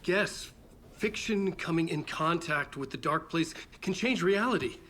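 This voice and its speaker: An actor playing a haunted, desperate writer in a video game, speaking in a troubled way. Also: male voice, a little high, expressive, fairly bright tone. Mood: urgent, troubled. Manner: halting, troubled, repeats words. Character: haunted, desperate male writer escaped from a nightmare. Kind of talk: dramatic video game acting, narration and dialogue.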